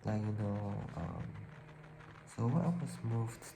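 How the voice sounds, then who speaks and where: A man from Taiwan with a Taiwanese accent tells a personal story casually and haltingly, often searching for words. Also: lowish voice, creaky, background noise. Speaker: vlogger telling own life story.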